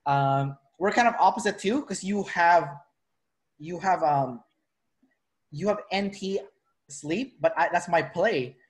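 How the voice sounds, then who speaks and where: A man's voice: fairly high pitch, lively intonation, slow pace. A man from the Philippines hosting a casual interview, talking in loose, rambling sentences full of fillers and restarts. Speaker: interviewer, personality trainer. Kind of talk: casual video-call interview.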